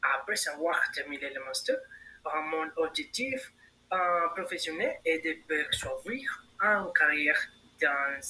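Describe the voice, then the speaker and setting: Bright-voiced young man. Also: on the high side, a little hiss or hum, poor audio. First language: Spanish. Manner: recited prepared answers with hesitant fillers. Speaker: candidate in a mock admission interview. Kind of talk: role-played job interview.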